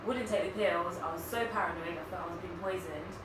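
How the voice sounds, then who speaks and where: A young woman from Zambia, raised in Botswana, speaking haltingly as an interview guest, with many fillers and restarts. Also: on the low side. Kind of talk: personal story in an interview. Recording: echoey room, far from mic, background noise.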